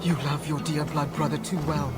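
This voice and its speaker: A woman's voice: low voice, steady tone, moderate pace, very noisy, natural voice. An actress playing a fierce, reproachful Norse goddess and protective mother in a video game, with imperious, archaic formal speech. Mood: bitter, reproachful. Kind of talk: dramatic game cutscene acting.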